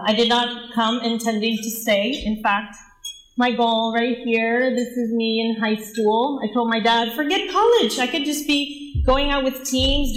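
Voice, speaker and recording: A woman in her forties from the Dominican Republic, giving an earnest, personal presentation as a nonprofit leader. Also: fairly high pitch. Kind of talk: presentation talk. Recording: echoey room.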